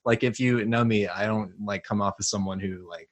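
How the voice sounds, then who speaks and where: Offhand young man with a lowish voice. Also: steady tone, moderate pace. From South Carolina, United States. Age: young adult. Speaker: band member, podcast guest. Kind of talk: casual chat.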